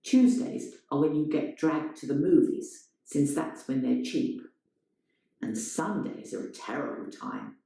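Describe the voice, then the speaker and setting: A woman's voice: sits low, a little slow, mellow. A woman voicing a wry, confiding adulterous man in a stage monologue read as a story. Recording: very echoey room.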